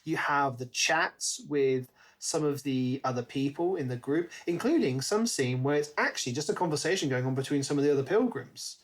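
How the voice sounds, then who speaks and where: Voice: mid-range voice, animated, normal speed, hardly any pauses. A podcast co-host chatting casually about a book, thinking aloud in a conversational, musing way. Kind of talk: casual book discussion chat.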